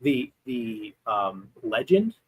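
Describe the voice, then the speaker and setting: A man's voice: fairly low pitch, big pitch swings, slow pace, slightly rough, low-quality recording. A man telling the history of an object in a casual livestream chat, talking with many ums and restarts. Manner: hesitant, many fillers and restarts. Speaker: guest Ouija board historian.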